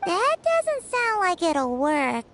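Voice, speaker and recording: A woman voicing a small, childlike fairy companion in a video game, squeaky, excitable and easily shocked. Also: slow, heavy background noise, full character voice. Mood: shocked protest.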